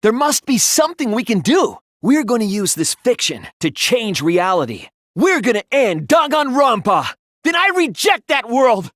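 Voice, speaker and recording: A man's voice: very high-pitched, sing-song, moderate pace, dry recording, studio quality, exaggerated voice. A young man voicing an earnest, wounded but defiant teenage boy detective in a dramatic video game dub, emotional and emphatic. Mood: impassioned defiance. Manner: emotional, emphatic, swinging from hushed to impassioned.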